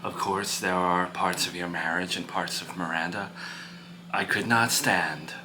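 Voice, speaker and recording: A man voicing a stern, weary older father and grandfather who speaks quietly, in a measured, admonishing way. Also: deep voice, fairly even intonation, background noise, voice pushed down. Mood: blunt, reproving.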